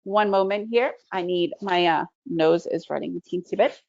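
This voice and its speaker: A woman's voice: lowish voice, normal speed, vocal fry, darkish tone, highs cut off. A middle-aged woman, originally from the Soviet Union and a native Russian speaker, presenting a trading webinar in a conversational way, often asking "right?". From Soviet Union, raised in the United States. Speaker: day trading educator. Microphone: video call.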